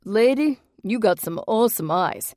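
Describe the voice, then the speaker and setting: A woman's voice: fairly low pitch, expressive, moderate pace, gravelly, no room sound, clean studio sound. Woman doing a brash Jersey-accented young man.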